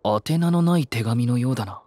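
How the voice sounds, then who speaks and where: A man's voice: medium pitch, animated, brisk, dark tone, no room sound, lightly acted. A male actor playing a stern, aloof teenage boy idol in a Japanese audio drama, speaking formally and haughtily. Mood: serious. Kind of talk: Japanese anime-style audio drama acting.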